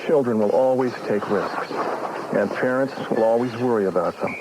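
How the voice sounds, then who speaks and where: Male narrator, mid pitch, gentle and reassuring, music underneath. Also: normal speed, poor audio. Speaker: commercial voice-over. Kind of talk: scripted ad read.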